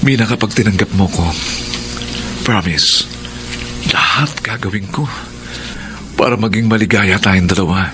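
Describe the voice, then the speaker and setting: An actor playing an ardent, smooth-talking young suitor in a radio drama, earnest and pleading. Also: male voice, lowish voice, a little slow, long pauses, natural voice. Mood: pleading, romantic. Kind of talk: Filipino radio drama acting. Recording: noisy background behind the voice.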